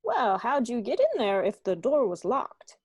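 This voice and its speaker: A woman's voice: a little high, very animated, a little slow, a little mellow, breathy edge, no room sound, clean studio sound, full character voice. A woman playing a probing, sardonic police captain in an amateur radio-style mystery. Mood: wry, commanding. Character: brisk, wry police captain questioning suspects.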